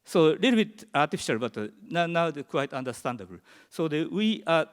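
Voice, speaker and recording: A man's voice: on the high side, sing-song, smooth. Japanese man presenting in a reverberant hall. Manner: halting, searching for words in English. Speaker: conference presenter. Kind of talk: conference presentation. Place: large conference hall.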